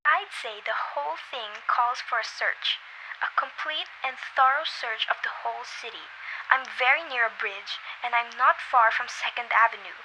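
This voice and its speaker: Young woman acting fretful bedridden wife, high-pitched. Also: normal speed, pushed and bright, slight background noise, poor audio. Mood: insistent. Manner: fretful, insistent. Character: anxious, demanding bedridden wife, self-pitying and imperious. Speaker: student voice actor in a radio play. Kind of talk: amateur radio drama acting.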